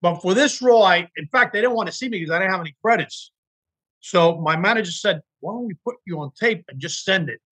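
High bright husky-voiced man. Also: fast, rough recording. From Miami. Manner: animated storyteller, acts out quotes. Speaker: guest actor. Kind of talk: casual podcast interview storytelling.

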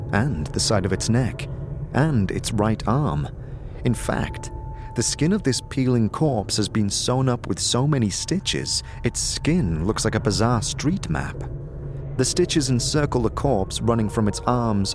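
A man narrating a scripted audio drama in the second person, with measured, descriptive storytelling. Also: fairly low pitch. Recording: music under the voice.

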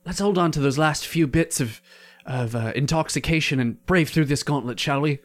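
A man's voice: fairly high pitch, normal speed, lightly acted. A man playing a tipsy, loyal squire who speaks in flowery, old-fashioned phrases with hesitant fillers. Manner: flowery mock-olde speech with hesitant fillers. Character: tipsy, loyal young male squire with archaic speech. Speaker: improv comedian.

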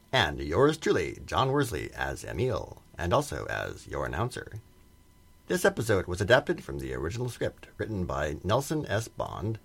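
Husky male announcer with sweeping intonation. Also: lowish voice. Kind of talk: scripted radio drama announcing.

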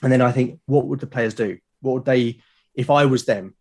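A British man talking as a podcast guest, explaining his creative process in a reflective, halting way. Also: medium pitch, a little mellow, husky. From Britain. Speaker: podcast guest, creator of a solo role-playing show.

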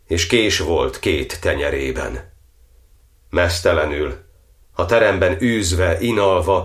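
An actor as a low-voiced, tormented imprisoned cardinal. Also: male voice, close to an everyday voice. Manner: slow, liturgical, declamatory delivery. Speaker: actor in a monodrama. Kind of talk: solemn poetic audio drama monologue.

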